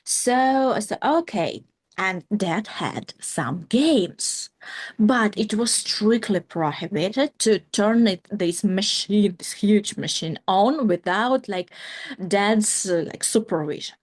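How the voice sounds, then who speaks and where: A woman's voice: mid-range voice, lively intonation, slow. A woman in her thirties from Ukraine with a Ukrainian accent, a guest telling her story in a casual interview, full of fillers and restarts. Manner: many "like" fillers and restarts, non-native grammar. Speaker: guest, company founder.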